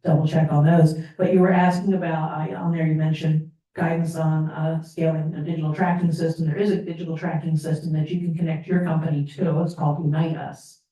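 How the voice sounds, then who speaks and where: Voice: mid-range voice, flat delivery, a little fast, mellow, dull audio. An event organizer giving meandering advice to a presenter. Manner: meandering with fillers. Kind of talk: commenting at a pitch event. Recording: echoey hall, distant mic.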